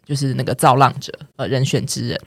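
A man's voice: mid-range voice, level tone, fast talker, creaky, fairly bright tone, no echo, clean studio sound. A man with a Taiwanese Mandarin accent, talking informally as a podcast guest. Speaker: podcast guest, theatre and publishing professional. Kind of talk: casual podcast chat.

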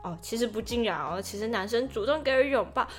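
A woman's voice: on the high side, lively intonation, fast. A woman with a Taiwanese Mandarin accent, talking casually as co-host of a podcast. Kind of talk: casual chat.

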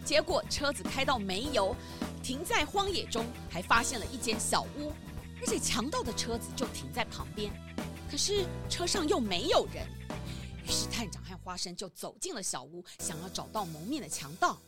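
A woman's voice: on the high side, very animated, moderate pace, music in the background. A woman narrating a children's detective story from a script, in a storytelling style.

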